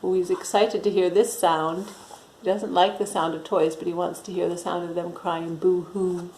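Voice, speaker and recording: A woman reading a storybook aloud to young children with expressive, dramatic emphasis and chatty asides. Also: lowish voice, normal speed, reverberant, slight background noise, low-quality recording. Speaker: storytime reader.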